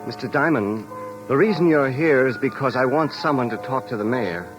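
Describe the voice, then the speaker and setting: An actor playing a calm, chilling escaped convict in a 1950s radio crime drama, speaking with polite, measured menace. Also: male voice, medium pitch, muffled recording, natural voice. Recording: old radio broadcast, music behind.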